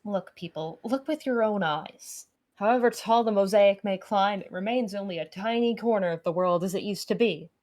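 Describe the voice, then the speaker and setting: A woman's voice: mid-range voice, normal speed, hardly any pauses, a little mellow, natural voice. A woman voicing an earnest orator who addresses people with passion in a visual novel. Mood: impassioned. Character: earnest orator urging people to see a living world.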